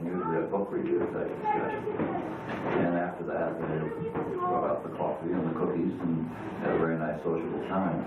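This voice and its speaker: A man of seventy-two reminiscing in a measured, halting way for a family oral history recording. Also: sits low. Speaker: family member recalling childhood memories. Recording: tinny, very echoey, distant, noisy home recording.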